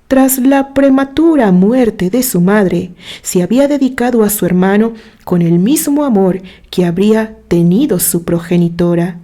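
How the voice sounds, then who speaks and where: A woman narrating a scripted story in a gentle, sentimental way. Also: mid-range voice.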